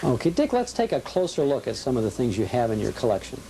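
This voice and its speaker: Brief: male host, mid-pitched, relaxed interviewer, faint hiss on old cable TV audio. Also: quick pace, rough recording. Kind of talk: casual interview and read commercial.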